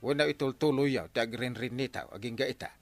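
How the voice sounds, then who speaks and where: A man acting in a Filipino radio comedy as an excitable, argumentative, blustery village captain with an Ilocano accent, hemming and chuckling as he talks. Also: mid-range voice, brisk, faint music behind, close to an everyday voice. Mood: animated, nosy. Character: Enteng, blustery comic village captain fond of drink.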